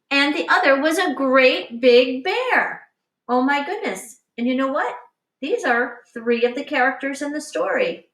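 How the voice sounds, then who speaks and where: A woman teacher reading a picture book aloud to young children in an expressive, instructive way, pausing to explain and addressing them directly. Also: high-pitched. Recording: roomy, echoing, distant microphone.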